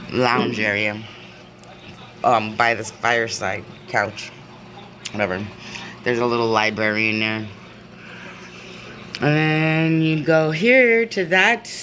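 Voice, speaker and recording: Slow, rambling vlogger in a noisy room. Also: mid-range voice, bright, forceful tone. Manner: rambling, laughs often, many fillers. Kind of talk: casual video diary. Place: indoor room with a window view.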